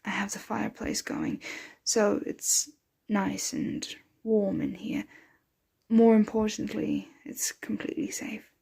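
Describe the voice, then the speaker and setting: A woman voicing a possessive, protective, motherly vampire in an intimate ASMR roleplay, breathy and often dropping into a whisper. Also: medium pitch, flat delivery, warm tone, heavily acted. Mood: gentle, confiding. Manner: slow, intimate, soothing address to a dear one.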